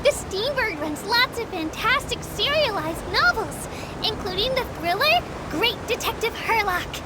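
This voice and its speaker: A woman's voice: extremely high pitch, sing-song, a little slow, very noisy, heavily acted. A woman voicing a small childlike female creature who works as a polite, eager, bashful tour guide in a video game. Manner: polite, eager, self-deprecating, easily flustered.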